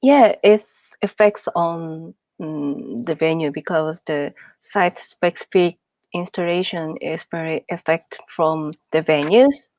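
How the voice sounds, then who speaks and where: Slow, gravelly woman on a lo-fi video call. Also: deep voice, darkish tone, no room sound. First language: not English. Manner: halting, searching for words. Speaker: artist. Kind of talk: online meeting discussion. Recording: dull lo-fi call audio.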